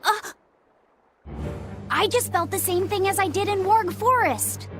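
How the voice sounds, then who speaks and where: Game casting: earnest little boy done by a woman, high voice, tentative, soft music behind. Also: measured pace. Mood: uneasy. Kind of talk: video game voice acting.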